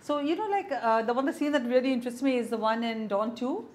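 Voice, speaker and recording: A woman's voice: on the high side, fast, darkish tone. A woman in her fifties from India, interviewing a guest in a small indoor room and asking long, meandering questions. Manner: long rambling questions with fillers.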